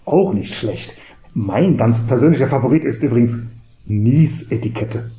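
A bookish male teacher played by a man in a comedy audio play. His mellow, mid-pitched voice is measured and wry, heard in an echoey room. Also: animated, close to an everyday voice. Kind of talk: scripted cabaret audio play.

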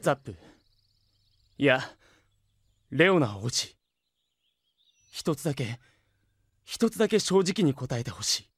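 An actor playing an anxious, earnest young villager who speaks in urgent, halting, pleading phrases in an anime audio drama. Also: male voice, mid-range voice, big pitch swings, a bit bright, breathy edge, no echo, full character voice.

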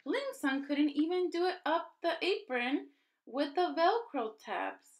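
A woman reading a children's picture book aloud as a storyteller, in an expressive, deliberate style made for young children. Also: high voice. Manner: deliberate, animated storytelling delivery.

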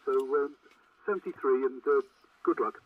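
A man's voice: mid-range voice, moderate pace. A man chatting in radio procedure as a CB operator, heard through a radio transmission. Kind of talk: formal radio-procedure chat. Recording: tinny, noisy, distant CB radio link.

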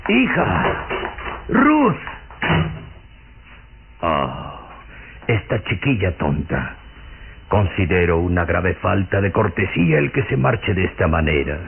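An actor playing an aging, fussy, stern father in an old radio serial, with a halting, blustering manner. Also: deep voice, wildly swooping pitch, vocal fry, phone-quality sound, a little hiss or hum, full character voice. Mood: worried, then indignant.

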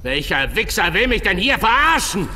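Volatile crime boss, wildly swinging pitch. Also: male voice, sing-song, moderate pace, over music. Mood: furious. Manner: loud, cursing, volatile. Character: foul-mouthed, hot-tempered crime boss and father. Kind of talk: video game cutscene acting.

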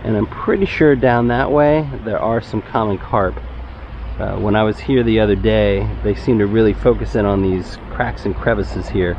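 A man's voice: lowish voice, normal speed. A young man with a Texan accent, casually explaining how he fishes as he goes, recorded outdoors on a head-mounted camera. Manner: relaxed, explanatory, gets excited when a fish bites. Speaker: fishing channel host. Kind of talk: casual fishing vlog narration. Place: outdoors by a concrete-lined urban bayou. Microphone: head-mounted action camera. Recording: dull outdoor camera audio, faint traffic noise.

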